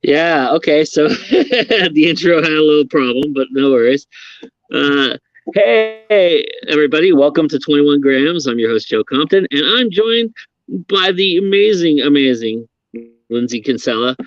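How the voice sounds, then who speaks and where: A man's voice: a little high, expressive, a little slow, dry recording, muffled recording. A man hosting a podcast interview, chatting casually, laughing often and rambling with restarts. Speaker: podcast host.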